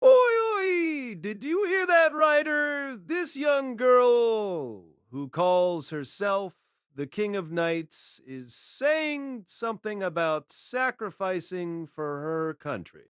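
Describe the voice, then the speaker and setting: An arrogant spirit king in an audio drama. He is scornful and theatrical, drawing out his words slowly. His voice is smooth and swings widely in pitch. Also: big pitch swings, fairly bright tone, dry recording, clean studio sound, full character voice. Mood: gleeful scorn. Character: arrogant, mocking golden warrior king spirit, male.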